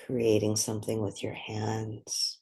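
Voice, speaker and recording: A meditation guide with a soft, breathy voice, speaking gently and unhurriedly in short phrases with long pauses. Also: mid-range voice, monotone.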